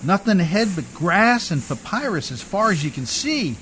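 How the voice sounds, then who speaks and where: An actor playing a rough-spoken, warm riverboat man in love, in old-time radio drama style with colloquial, hearty talk. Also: high-pitched, sing-song, normal speed, a little hiss or hum, low-quality recording. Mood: worried, resigned.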